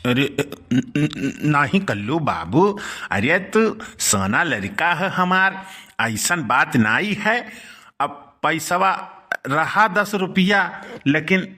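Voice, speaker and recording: A man's voice: fairly high pitch, sing-song, takes it slowly, pushed and bright, exaggerated voice. A man playing a middle-aged village uncle in a comic cartoon, grumbling and rustic, with an Awadhi accent. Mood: pleading, wheedling. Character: scolding village uncle, easily blackmailed, henpecked and wheedling.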